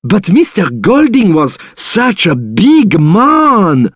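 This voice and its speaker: A man's voice: high-pitched, wildly swooping pitch, slow, dry recording, studio quality, heavily acted. A man playing a nosy, easily shocked barman in a video game, with a theatrical, gossip-hungry manner. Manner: theatrical, gasping, eager for gossip. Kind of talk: video game dialogue acting.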